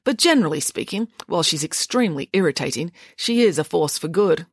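A woman's voice: on the low side, expressive, a little fast, breathy edge, no room sound, studio quality. A woman voicing an exasperated, pompous male school headmaster in a storybook, dryly authoritative.

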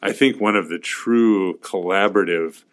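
A man's voice: deep voice, moderate pace. A man hosting an interview, asking easygoing leading questions with frequent fillers. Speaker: podcast host. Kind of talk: interviewing a guest.

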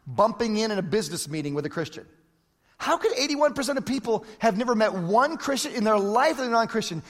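Emphatic male preacher in a reverberant hall. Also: high voice. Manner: emphatic, repeats phrases for effect. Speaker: pastor. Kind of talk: sermon.

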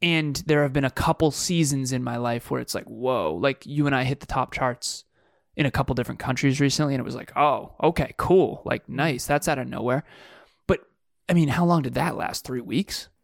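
A man's voice: mid-range voice, a little fast, vocal fry, no echo, clean studio sound. A man co-hosting a podcast, musing aloud in casual chat with frequent restarts. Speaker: podcast co-host.

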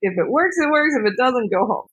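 A woman's voice: fairly high pitch, lively intonation, brisk, breathy edge. An American woman hosting and interviewing on a podcast in a casual, chatty style. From United States.

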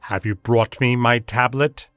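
A man voicing an ominous, sneering male high priest with a cold, haughty, slow menace. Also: fairly even intonation, a bit bright, no room sound, studio quality, faint music behind, lightly acted. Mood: menacing.

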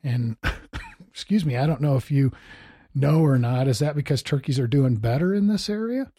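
A man's voice: medium pitch, lively intonation, normal speed, dark tone, slightly rough, no room sound, clean studio sound. An American man hosting a casual interview, with an easygoing, folksy way of asking questions. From Missouri, United States. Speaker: interviewer, host.

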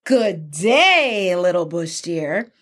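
A woman's voice: on the low side, very animated, very slow, fairly bright tone, pitched below the performer's own voice, heavily acted. A woman voicing a lazy, sly male trickster spider from a children's folk tale, with an insistent, exaggerated delivery. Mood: falsely friendly.

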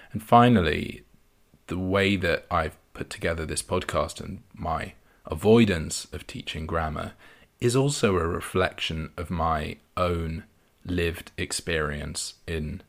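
A man hosting a podcast for English learners, explaining in a clear, measured way. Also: low-pitched, fairly bright tone. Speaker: podcast host and English teacher. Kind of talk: scripted podcast lesson for learners.

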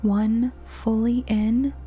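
A woman narrating a guided relaxation meditation in a soft, gentle, soothing manner with long pauses. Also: a little high, level tone. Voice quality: soft, breathy. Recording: meditation music behind the voice.

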